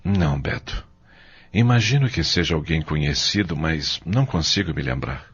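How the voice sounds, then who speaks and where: A man playing a middle-aged, caring and commanding father in an audio soap, speaking earnestly and haltingly. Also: very deep voice, lively intonation, a bit bright, breathy edge, exaggerated voice. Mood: troubled, puzzled. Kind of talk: audio soap opera acting. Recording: muffled, dull audio drama recording.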